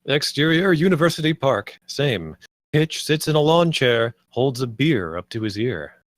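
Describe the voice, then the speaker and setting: A man's voice: mid-range voice, sing-song, unhurried, dry recording, studio quality. A man reading scripted stage directions as the narrator of a screenplay table read.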